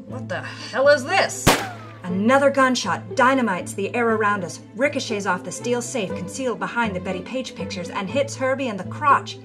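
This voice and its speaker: A woman giving a theatrical, scripted narration of a memoir, dropping to a breathy hush in quiet moments. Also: mid-range voice. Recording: music bed under the voice.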